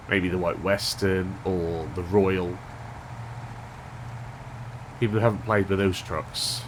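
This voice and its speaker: A man hosting a gaming channel, chatting casually over gameplay with a stuffed-up, unwell voice, thinking aloud with a monotone delivery. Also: low voice, noisy background. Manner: rambling, thinking aloud with restarts.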